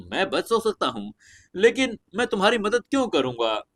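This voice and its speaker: A man's voice: high-pitched, big pitch swings, normal speed, fairly bright tone, voice pushed up, exaggerated voice. A man voicing an arrogant, grudging male serpent monster who sneers and boasts in a fantasy audio story. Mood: boastful.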